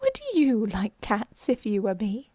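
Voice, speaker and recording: A woman voicing a small, touchy, passionate mouse from a storybook, shrill and trembling. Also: very animated, measured pace, mellow, no echo. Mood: indignant. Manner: passionate, offended.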